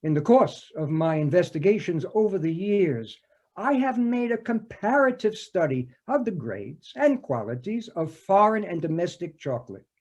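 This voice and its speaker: A man playing a pompous, deliberate police detective in a comic stage scene, speaking formally with hesitant, wordy phrasing. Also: sits high, sing-song, darkish tone, heavily acted. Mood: suspicious. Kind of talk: comic readers theater scene.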